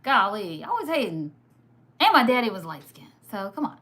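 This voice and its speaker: A woman from Sudan whose first language was Arabic, hosting a casual live stream, chatty and quick to laugh while reading comments. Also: mid-range voice, big pitch swings, a bit bright. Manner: chatty, laughs often, teasing. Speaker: livestream host.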